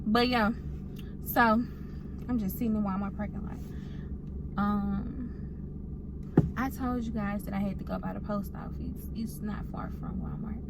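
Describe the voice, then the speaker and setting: Southern mississippi mom just rambling in the car, lots of um and but yeah, road noise real loud behind her. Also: mid-range voice, a little slow, creaky, pauses often. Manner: relaxed, rambling, many fillers. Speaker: mom vlogger. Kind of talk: casual chat while driving. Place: inside a car. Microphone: phone camera on a stand.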